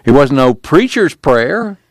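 A man's voice: lowish voice, lively intonation, moderate pace, no room sound, clean studio sound. A man voicing a humble, doubting former preacher with hesitant speech.

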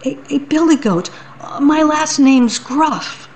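A woman's voice: sits high, expressive, slow, darkish tone, a little hiss or hum, pitched above the performer's own voice. A woman voicing a small, nervous billy goat that stammers, for a storybook reading. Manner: stammering, timid.

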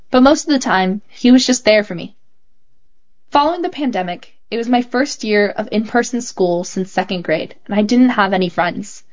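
A woman reading a child's essay aloud as scripted narration, in a clear and measured storytelling manner. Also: mid-range voice, highs cut off. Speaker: host reading children's stories.

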